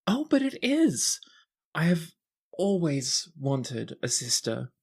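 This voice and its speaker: A man's voice: fairly high pitch, very animated, slow, a little mellow, husky, voice pushed up, exaggerated voice. Man playing a bubbly, courtly young princess. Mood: eager, warm. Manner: formal courtly phrasing, effusive. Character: bubbly, earnest young princess with a mischievous streak.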